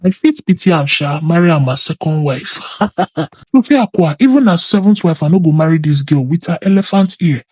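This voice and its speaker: A brash folktale villager with a Nigerian accent, played by a woman storyteller. The voice is husky and dry, full of scornful, jeering banter. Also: low-pitched, lively intonation, brisk, warm tone, dry recording, dull audio, pitched below the performer's own voice. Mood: mocking.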